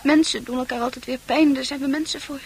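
Casting: dreamy, troubled young female confidante for Dutch radio drama, high-pitched, poetic and earnest. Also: fairly even intonation, fast, a little hiss or hum. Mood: pensive, intense. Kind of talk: Dutch radio play acting.

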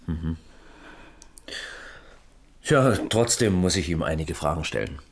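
An actor playing a calm, formal police lieutenant who questions persistently in a German radio crime drama. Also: male voice, very deep voice, creaky, a little hiss or hum, heavily acted. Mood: measured, official. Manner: measured, probing, formal questioning.

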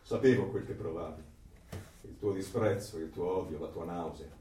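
An actor playing a solemn, knowing male confidant who speaks deliberately to a tormented man in a radio drama. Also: low-pitched, warm tone, distant mic, a little hiss or hum, lightly acted. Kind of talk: theatrical radio drama acting. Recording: echoey, off the microphone.

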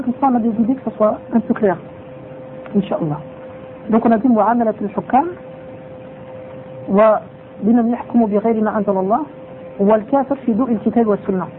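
A man giving a religious lesson who drops in Arabic phrases and repeats his points for emphasis. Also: high voice. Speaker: religious teacher. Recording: tinny phone-like sound with faint noise.

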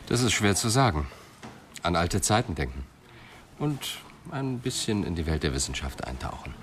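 Ein Schauspieler spielt im Hörspiel einen gefassten Polizeiermittler, der bedächtig und forschend fragt. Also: male voice, deep voice, sing-song, slight background noise. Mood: calm, probing. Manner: measured, probing questions. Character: composed, probing male police detective. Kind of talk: radio drama dialogue.

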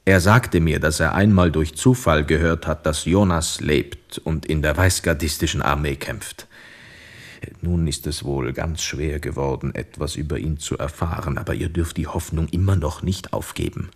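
A modest, sober bandleader in a German radio play. His voice is extremely deep. He recounts things in a measured, understated manner. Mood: grave, gentle. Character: sober, modest male musician and bandleader, long-lost son.

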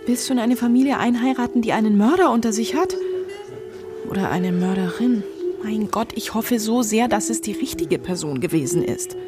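A woman's voice: on the high side, big pitch swings, normal speed, over music. An actress playing a candid young woman from an odd wealthy family in a radio crime play. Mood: troubled, grave. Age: young adult.

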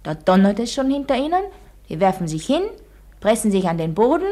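An actress plays an Austrian village schoolteacher, a woman with a medium-pitched voice. She is didactic and emphatic, quizzing her class and spinning out a heroic story as in a radio play. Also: big pitch swings, fairly quick, dark tone, natural voice. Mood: dramatic, suspenseful.

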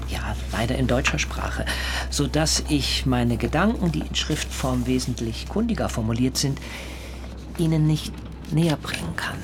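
An actor playing an earnest, zealous young German vegetarian prophet in a radio play, speaking in ornate, rhetorical sentences. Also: male voice, a little high, background noise. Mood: earnest, eager.

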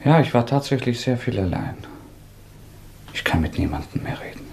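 An actor in a German radio play playing a haunted, taunting fugitive murderer, halting and erratic in his delivery. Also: mid-range voice, sing-song, darkish tone, a little hiss or hum. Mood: haunted, lonely. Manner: erratic, halting, needling, swinging from muttering to agitation.

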